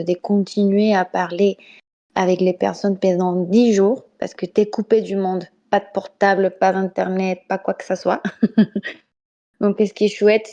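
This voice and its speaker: A woman's voice: on the low side, dark tone, lo-fi sound. A woman from Mexico with a Spanish accent, chatting casually and laughing often, with many hesitations. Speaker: podcast guest. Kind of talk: casual discussion over voice chat. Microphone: home microphone on voice chat.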